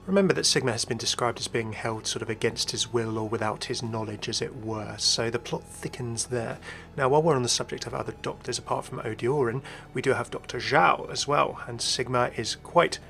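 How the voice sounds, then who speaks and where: A man's voice: medium pitch, a little fast, vocal fry, a bit bright, soft background music. A man hosting a video game lore commentary, speculating aloud and speaking to the viewer in conversational asides. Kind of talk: scripted-sounding video commentary.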